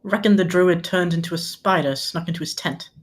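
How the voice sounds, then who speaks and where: A woman's voice: lowish voice, brisk, natural voice. A woman playing soldiers and officers in a table read of a fantasy war script. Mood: gossipy.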